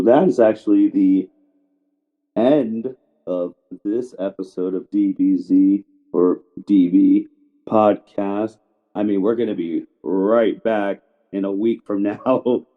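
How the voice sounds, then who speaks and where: Low-voiced male podcast host, phone-like sound. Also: steady tone, a little slow. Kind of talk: casual podcast chat. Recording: thin phone-like remote call audio.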